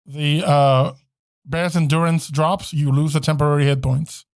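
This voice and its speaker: A man running a tabletop game as game master, narrating combat in a halting, self-correcting way. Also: on the high side, steady tone, dry recording, studio quality.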